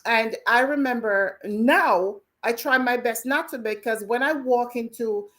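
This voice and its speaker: A woman from Jamaica with a Jamaican accent, talking as a guest in a casual, animated call-in chat. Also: fairly high pitch, unhurried. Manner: animated, emphatic, repeats herself when excited.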